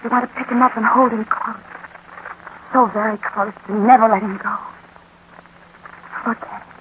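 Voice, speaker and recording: An actress playing a brooding, intensely devoted young mother and boxer's wife, deliberate and theatrical, in a 1940s radio drama. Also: a little high, steady tone, lightly acted. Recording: narrow-band 1940s radio recording, faint noise.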